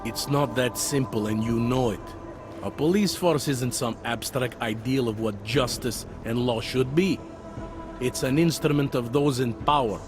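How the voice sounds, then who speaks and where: An actor playing a weary, self-deprecating older cop near retirement in a video game, talking in a wry, conversational way. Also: male voice, mid-range voice, measured pace, close to an everyday voice. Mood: cynical. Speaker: police officer. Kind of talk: video game cutscene dialogue. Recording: background music under dialogue.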